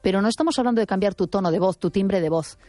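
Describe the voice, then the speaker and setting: A woman's voice: mid-range voice, fast talker, mellow, dry recording, soft background music. A woman hosting a radio talk, arguing her points firmly and correcting her caller. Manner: assertive, corrects and argues firmly.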